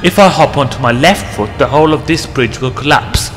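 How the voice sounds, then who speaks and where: A man's voice: mid-range voice, fairly quick, fairly bright tone, long reverb tail, faint music behind, natural voice. A man playing a flippant, unflappable time-travelling adventurer in a fan audio drama, breezy and teasing. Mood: smug, flippant.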